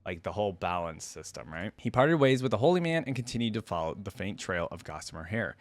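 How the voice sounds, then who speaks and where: A man with a fairly low voice hosts a lore reaction in a casual way, reading story text and commenting on it. The sound is dry, with very little room in it. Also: very animated, fast talker, no room sound, faint music behind. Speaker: reaction video host reading lore aloud.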